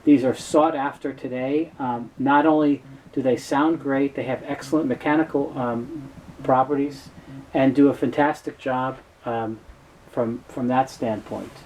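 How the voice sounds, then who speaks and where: A man with a mellow, relaxed voice at a middling pitch gives an informal explainer as a product presenter. His delivery is halting and explanatory, picking through the details as he goes. Some noise sits behind his voice. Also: low-quality recording. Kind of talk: informal explainer talk about audio gear. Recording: clear background noise.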